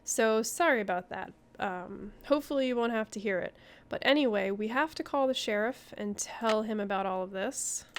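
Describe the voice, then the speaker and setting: A woman's voice: mid-range voice, normal speed, slight background noise. A woman hosting a gaming video with casual playthrough commentary into a headset.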